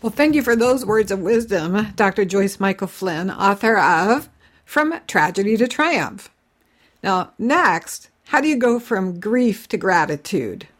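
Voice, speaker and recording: A woman's voice: medium pitch, lively intonation, unhurried. A woman hosting an interview show, asking sympathetic and curious questions of her guests. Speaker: talk show host.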